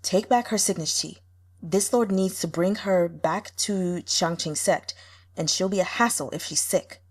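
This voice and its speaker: A man's voice: sits high, unhurried. Woman voicing a menacing, blunt sect lord. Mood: impatient. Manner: blunt, threatening, imperious. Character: menacing, impatient sect-lord man, cold and scornful. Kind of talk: audiobook character acting.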